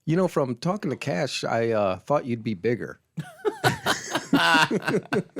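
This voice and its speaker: A man in his fifties, co-hosting a podcast, telling stories in a halting way with dry humor. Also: fairly high pitch, huge pitch swings, gravelly, fairly bright tone, breathy edge. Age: 50s. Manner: halting storyteller, trailing fillers and restarts, dry. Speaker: podcast co-host. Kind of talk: casual chat.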